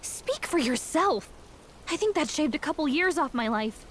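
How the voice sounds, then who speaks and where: An actress playing a sassy yet warm-hearted female companion in a video game, expressive and sardonic. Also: sits high, moderate pace, a little hiss or hum.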